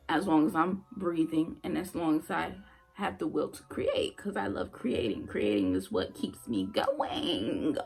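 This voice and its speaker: Young woman venting on her vlog, gravelly husky voice, blunt, keeps repeating herself to make the point. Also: mid-range voice. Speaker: former nursing assistant vlogger. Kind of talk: casual storytelling vlog.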